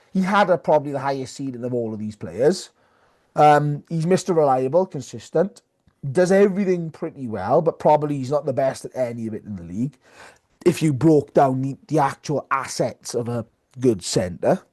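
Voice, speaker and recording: Guy on a rugby podcast, kinda high voice but really mellow, just chatting. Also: expressive, normal speed. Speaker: sports podcast co-host. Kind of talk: casual rugby chat.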